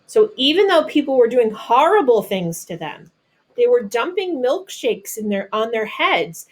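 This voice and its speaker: Woman reading a picture book aloud. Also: mid-range voice. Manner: deliberate, explains simply as if to children. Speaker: storybook reader. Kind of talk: read-aloud of a children's picture book with explanatory asides.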